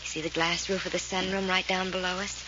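A woman's voice: fairly low pitch, level tone, quick pace, natural voice. An actress playing an insistent, eerily soothing woman who coaxes and controls in an old-time radio drama. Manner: coaxing, insistent, hushed reassurance. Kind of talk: 1940s radio drama acting. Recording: muffled, very noisy old radio recording.

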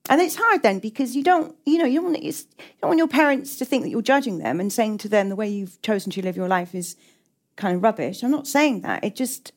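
A woman's voice: on the high side, expressive, brisk. A middle-aged woman with an English accent giving reflective interview answers as a writer, hesitant and often repeating and restarting her words. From England.

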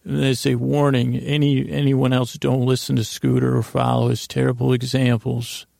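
A man with a creaky voice hosting a bedtime podcast in a meandering, halting monologue that stammers and interrupts itself with gentle humor. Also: mid-range voice, completely flat delivery, no room sound, studio quality. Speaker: podcast host.

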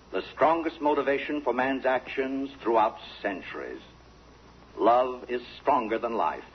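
An actor playing an eloquent, cultured man reciting solemn, florid reflections in a 1950s radio drama. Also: medium pitch. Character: eloquent, cultured man musing gravely on love. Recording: muffled, faintly noisy 1950s radio.

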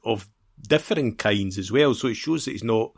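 Bloke on a football podcast, fairly low voice, chatty and rambling through his points. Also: expressive, normal speed, slightly rough. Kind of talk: casual football discussion.

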